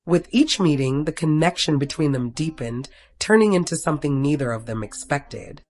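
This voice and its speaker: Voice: medium pitch, expressive, moderate pace, darkish tone. A narrator reading a scripted romantic story, telling it in the third person.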